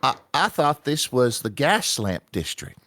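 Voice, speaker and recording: Male actor as exasperated tourist, incredulous comedy delivery, very swooping pitch, dry sound. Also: big pitch swings, measured pace, fairly bright tone, no room sound. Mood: doubtful. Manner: interrupting, incredulous. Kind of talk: live table read of a comedy radio show.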